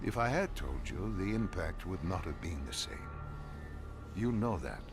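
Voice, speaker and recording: Secretive doctor in a video game, deep gravelly man, calm n cryptic, measured, music behind him. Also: full character voice. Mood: calm, guarded. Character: calm, enigmatic male doctor hiding dark secrets. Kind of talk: dramatic video game voice acting.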